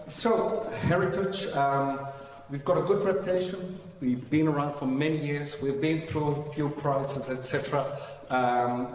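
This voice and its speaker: A man's voice: medium pitch, darkish tone. Belgian man presenting in an echoey hall. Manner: hesitant, many fillers and restarts. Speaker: financial advisor presenting at a seminar. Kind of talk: seminar presentation. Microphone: distant room mic. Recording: echoey hall recording from far off.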